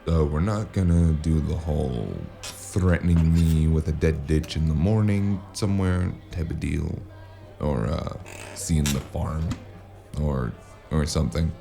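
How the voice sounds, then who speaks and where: Man acting a very deep gravelly noir investigator. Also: a little slow, mellow, faint music behind, heavily acted. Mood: guarded. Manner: dry, hard-boiled, laconic. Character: gruff, wary noir investigator narrating his own case. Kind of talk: noir audio drama narration and dialogue.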